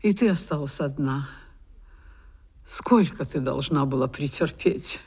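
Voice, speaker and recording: An actress playing a kindly, emotional widowed countess in a Russian radio drama, with a tender, effusive, theatrical delivery. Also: female voice, extremely low pitch, a little slow, a little mellow, breathy edge, heavily acted. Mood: tender, overjoyed.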